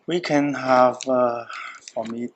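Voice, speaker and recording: Brief: male host, 40s, halting casual talker, mid pitch, faint hiss. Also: fairly even intonation, highs cut off. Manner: halting, many restarts and fillers, non-native phrasing. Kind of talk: casual chat over a meal.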